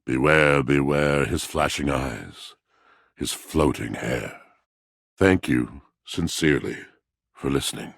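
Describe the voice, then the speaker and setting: A melodramatic, heartbroken object come to life in a video game. His voice is exceptionally low and full of gravel, recorded dry and close. He speaks in mournful, grandiose verse, as a theatrical brooding lover. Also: takes it slowly, a bit bright, no echo, heavily acted. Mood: brooding, grave.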